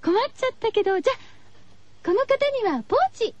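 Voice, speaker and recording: A woman's voice: extremely high pitch, animated, fast talker, dry recording. A woman hosting a radio show, chatting casually to her listeners in a cutesy, girlish way. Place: radio studio. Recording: slightly dull, lo-fi radio recording.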